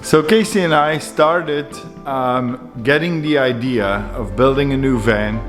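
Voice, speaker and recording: A man's voice: mid-range voice, unhurried. A man from Belgium in his forties, vlogging casually to a handheld camera in an upbeat, chatty way with fillers and restarts. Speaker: travel vlogger. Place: home kitchen and inside a camper van. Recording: music clearly under voice. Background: background music.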